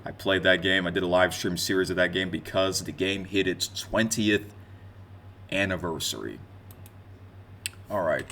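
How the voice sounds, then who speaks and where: A man in his twenties with a deep, low-pitched voice. He rambles conversationally, speaking straight to his viewers like a streamer looking back on his year. Faint noise sits behind the voice. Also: fairly even intonation, moderate pace, long pauses, pushed and bright. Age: 20s. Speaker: gaming content creator and streamer. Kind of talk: casual unscripted year-in-review vlog talk.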